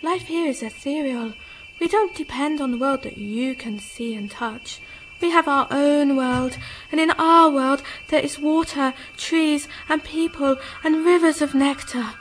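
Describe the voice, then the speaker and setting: An actress playing a serene, alluring otherworldly moon woman in a radio drama, gentle, coaxing and unhurried in manner. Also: sits high, slight background noise, rough recording. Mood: serene, mysterious. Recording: music behind the voice.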